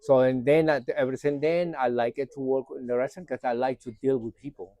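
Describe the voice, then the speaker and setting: A middle-aged restaurant owner with a Spanish accent talks through his story in simple, loosely built English. He speaks at a normal pitch, and his voice sounds dry and close, with no room echo. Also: steady tone, brisk, dark tone, lo-fi sound. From Uruguay. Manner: plain, loose English grammar, frequent uh. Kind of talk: casual interview answers.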